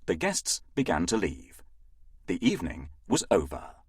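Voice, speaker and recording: A man reading a scripted children's fairytale as an expressive, dramatic storyteller. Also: low voice, normal speed, breathy edge, no room sound, studio quality.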